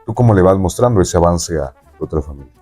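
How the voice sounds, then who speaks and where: A man's voice: deep voice, expressive, fast talker, dark tone, husky, faint music behind. A man with a Mexican accent hosting a conversational interview, talking in a rambling way and often checking in with tag questions. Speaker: podcast host interviewing a guest.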